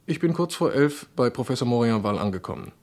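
A gentleman doctor played by a male actor in a radio crime play. He has a medium-pitched voice and sounds courteous but guarded, evasive and on the defensive. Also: lively intonation, quick pace, lightly acted. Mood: confiding, guarded. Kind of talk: German radio crime drama acting.

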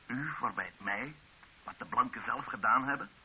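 A man's voice: mid-range voice, moderate pace, lightly acted. Man playing a stern rebel commander, hissy tinny recording. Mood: stern, grim. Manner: stern, mocking, declamatory. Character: bitter, menacing male Congolese rebel district commander, ex-slave. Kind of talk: old radio drama acting. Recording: hissy, narrow-band old radio drama recording.

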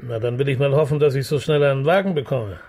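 An actor playing a wry, world-weary middle-aged police inspector in a radio crime comedy, polite and drily ironic with some hesitation. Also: male voice, medium pitch, quick pace, background noise, close to an everyday voice. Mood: polite, resigned.

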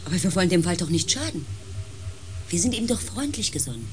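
An actress in a German radio play, playing a sober, uneasy crew member of a space expedition in a grave, restrained manner. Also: female voice, on the low side, big pitch swings, fairly quick, a little mellow, a little hiss or hum. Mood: pleading.

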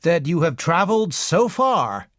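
A courteous middle-aged man speaks in a slow, pleasant manner, as a male narrator puts him on. His voice sits noticeably higher than the narrator's own and moves through a lively melody. It sounds dry and close. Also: dry recording. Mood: polite, friendly. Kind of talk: audiobook character reading.

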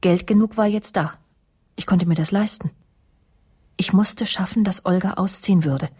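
A woman's voice: mid-range voice, normal speed, darkish tone, slightly rough, no room sound, clean studio sound. An actress playing a possessive, jealous woman in a radio crime drama, pointed and confiding. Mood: bitter, confiding. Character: possessive, jealous, needling woman recounting a feud. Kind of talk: German radio crime drama, dialogue and confessional narration.

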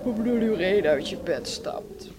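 An actress playing a languid wife in a radio drama, with a drawn-out, intimate way of talking. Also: female voice, mid-range voice, lively intonation, close to an everyday voice. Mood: drowsy, tender. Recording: noisy old radio recording.

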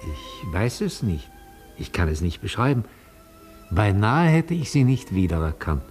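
An actor playing a gentle, nostalgic old retired opera tenor in a German radio play, courteous and halting. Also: male voice, lowish voice, very animated, soft background music. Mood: shy, concerned. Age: older.

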